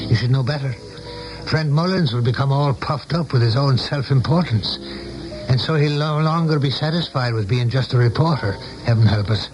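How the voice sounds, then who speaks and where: A man's voice: medium pitch, natural voice. An actor playing a worldly, cynical male political adviser in a radio drama, with a wry, knowing and sardonic way of talking. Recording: dull old radio recording with music.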